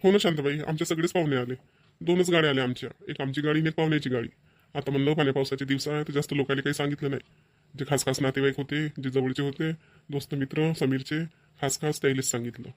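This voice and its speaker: A man's voice: fairly high pitch, steady tone, fast, no room sound, close to an everyday voice. A man voicing plain-spoken village men in a cartoon, with a Vidarbha Marathi accent and a folksy manner. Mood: matter-of-fact. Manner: folksy, colloquial. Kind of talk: amateur cartoon dialogue acting.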